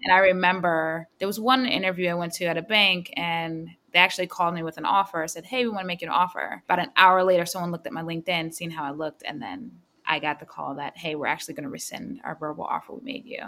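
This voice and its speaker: A panelist with a feminine voice, telling career stories candidly and matter-of-factly. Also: female voice, on the low side, steady tone, quick pace, hardly any pauses, bright, forceful tone. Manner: candid, storytelling, matter-of-fact. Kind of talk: panel discussion.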